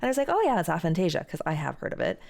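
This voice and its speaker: Gravelly-voiced woman streamer chatting. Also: lowish voice, very animated, rapid-fire, a little mellow. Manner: chatty, reads viewer messages aloud and answers them. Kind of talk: casual chat reading chat aloud.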